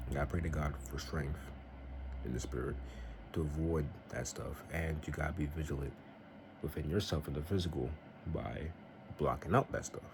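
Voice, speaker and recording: Young man, very low voice, earnest preachy vlogger, noisy home recording. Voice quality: very deep voice. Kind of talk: casual faith-based vlog talk.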